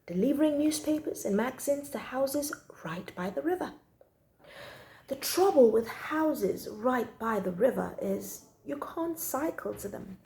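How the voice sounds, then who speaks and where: A woman's voice: on the high side, creaky, warm tone. A woman reading a children's story aloud expressively, drawing out key words for emphasis.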